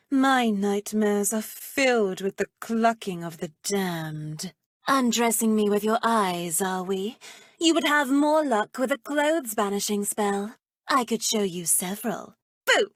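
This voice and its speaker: A woman's voice: mid-range voice, lively intonation, measured pace, dry recording, clean studio sound. An actress voicing a flirtatious, menacing witch professor in a video game, with an arch, theatrical, haughty delivery. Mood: haughty teasing, then playfully menacing. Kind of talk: video game character voice acting.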